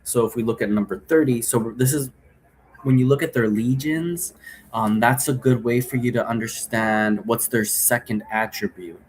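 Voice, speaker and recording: A man's voice: mid-range voice, normal speed, rough recording. A man with a mid-range voice teaches in a loose, unscripted way. He rambles through his explanation and checks that the listener follows. Some ambient noise sits behind his voice. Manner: rambling, checks understanding with "right?". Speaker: teacher explaining occult role-play rules. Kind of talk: casual unscripted explanatory talk.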